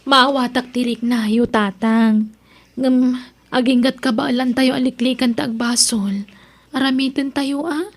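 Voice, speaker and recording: A woman's voice: high-pitched, steady tone, measured pace. A woman acting as an honest, principled young daughter in an Ilocano radio drama, earnest and pleading, with an Ilocano accent. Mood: reproachful. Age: young adult.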